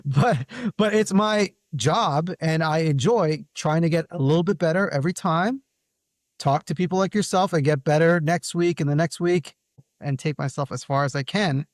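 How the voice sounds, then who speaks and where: A man's voice: on the high side, normal speed, no room sound, clean studio sound. A man hosting an interview show, chatting casually and conversationally with his guest. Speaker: podcast host.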